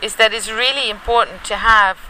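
A woman's voice: a little high, steady tone, brisk, sharp tone. A woman hosting a conversational field interview, speaking in an encouraging, affirming manner. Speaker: podcast host interviewer. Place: on location at a training institute. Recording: noisy field recording, clicks.

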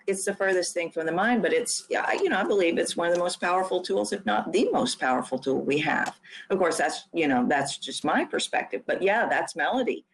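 A woman with a fairly low voice hosts a podcast interview. She affirms what her guest says and often restarts and repeats her phrases as she asks her questions. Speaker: podcast host.

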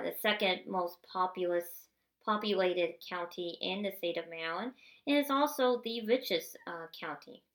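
A woman's voice: mid-range voice, a bit bright. Woman podcaster telling a story. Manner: conversational, with repeated restarts, rising to indignant emphasis. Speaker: podcast host. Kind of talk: personal storytelling monologue. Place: at home, seated in a wheelchair.

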